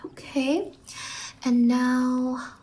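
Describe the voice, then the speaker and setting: Slow, soft-spoken whimsical ASMR salon stylist played by a woman. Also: high-pitched, flat delivery, background noise. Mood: soothing, attentive. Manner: gentle, reassuring, drawn-out words. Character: whimsical, reassuring female fever-dream hair salon stylist.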